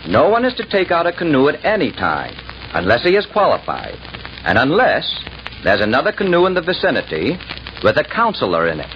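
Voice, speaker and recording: An avuncular camp director, with a widely swinging, animated delivery and hesitant hems. It is 1940s radio acting on a dull, faintly hissy recording. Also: male voice, a little high, brisk. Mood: genial, instructive. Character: genial, hesitant adult male summer camp director. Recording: muffled, faintly noisy 1940s radio broadcast.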